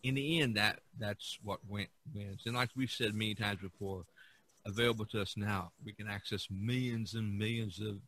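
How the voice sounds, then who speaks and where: A man with a fairly low voice hosts a casual podcast discussion. He rambles through his points and leans on them with emphasis. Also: fairly even intonation, moderate pace, a bit bright, a little hiss or hum, poor audio. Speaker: podcast host.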